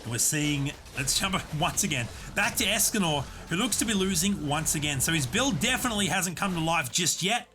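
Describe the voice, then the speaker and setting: A man casting a live online game tournament, giving steady, explanatory play-by-play. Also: a little high, fairly quick, background noise. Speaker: esports caster and streamer. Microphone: desk USB condenser mic.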